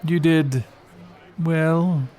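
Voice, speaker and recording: A man playing an aloof, sneering, composed elf in a fantasy audio drama, with measured, haughty speech. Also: a little high, steady tone, darkish tone, a faint crowd.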